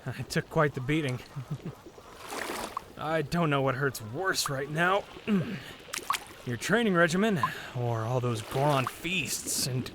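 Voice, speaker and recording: A man as young adventurer, slow unhurried delivery, weary, wry, good-natured, fantasy audio drama, water noise behind. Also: medium pitch. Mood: sore, wry. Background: flowing hot spring water.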